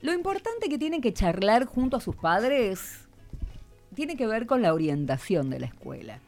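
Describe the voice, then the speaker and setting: A woman's voice: on the high side, sing-song, moderate pace, a little mellow, faint music behind. A middle-aged woman with a Buenos Aires accent, interviewing on the radio in an informal, didactic, advice-giving way. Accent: Buenos Aires Argentine Spanish. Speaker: radio host.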